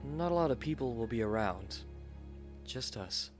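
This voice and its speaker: A man voicing a devoted young gentleman husband, gentle, earnest and formal, in a romantic audio roleplay. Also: mid-range voice, animated, normal speed, over music, natural voice. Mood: tender, shy.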